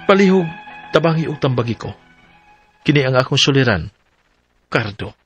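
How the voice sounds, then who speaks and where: A man's voice: mid-range voice, sing-song, moderate pace, dull audio, natural voice. An actor with a Cebuano accent playing a troubled middle-aged husband and father working abroad, reading a plaintive letter for a radio drama. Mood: worried, pleading. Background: background music.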